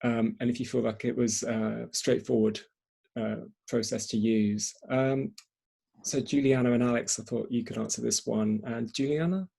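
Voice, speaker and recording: A man's voice: medium pitch, fairly even intonation, normal speed, vocal fry, mellow, breathy edge. A man hosting a panel discussion in spontaneous talk, hesitantly repeating and rephrasing as he goes. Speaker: event host and moderator.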